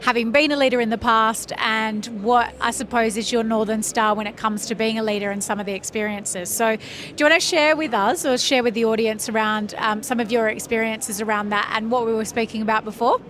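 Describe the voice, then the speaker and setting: A woman's voice: fairly high pitch, steady tone, fairly quick, a bit bright. A woman hosting a podcast interview at a trade conference, chatty and enthusiastic.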